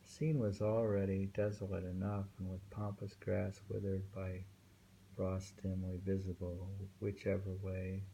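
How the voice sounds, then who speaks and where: A man reading poems aloud informally and commenting on them in a halting, musing way. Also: low voice, level tone, gravelly, warm tone, a little hiss or hum, low-quality recording. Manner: halting, musing, with pauses and self-questioning. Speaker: reader and host discussing poems.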